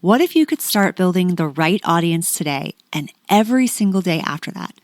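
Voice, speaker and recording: A woman's voice: mid-range voice, no room sound, clean studio sound. A woman hosting a podcast in a conversational, enthusiastic and emphatic way, moving between chat and sponsor reads. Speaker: podcast host.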